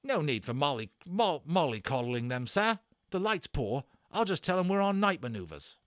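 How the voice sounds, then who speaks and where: Guy doing a little corporal from a kids book, high and animated, grumbly but yes-sir polite, army clipped. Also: normal speed, no room sound, studio quality. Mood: brisk, cautioning. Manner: clipped military, exclaiming, respectful to superiors. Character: tiny British army corporal toy, deferential but grumbling.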